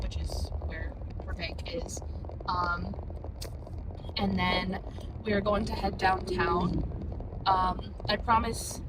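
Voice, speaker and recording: A young woman in her twenties chats loosely in a casual vlog from a car, piling on fillers as she goes. The recording carries clear road noise behind her. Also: medium pitch. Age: 20s. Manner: rambling, chatty, filler-heavy. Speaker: vlog co-host.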